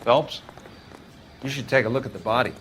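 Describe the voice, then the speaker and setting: An actor playing a matter-of-fact coroner in a video game, with a measured, clinical manner. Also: male voice, mid-range voice, noisy background, close to an everyday voice. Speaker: coroner character.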